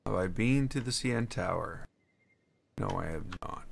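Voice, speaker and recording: A man streaming a game, chatting casually and haltingly, answering chat while he builds. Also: low-pitched, expressive, gravelly, slight background noise. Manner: rambling, halting, answers chat between tasks.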